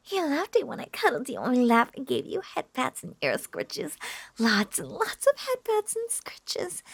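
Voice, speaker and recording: A woman playing a lovesick, obsessive witch in an intimate roleplay audio drama, cooing in a breathy, husky half-whisper with lingering pauses. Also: mid-range voice, dry recording, heavily acted. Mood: doting, teasing. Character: lovesick obsessive young witch, possessive, teasing and doting.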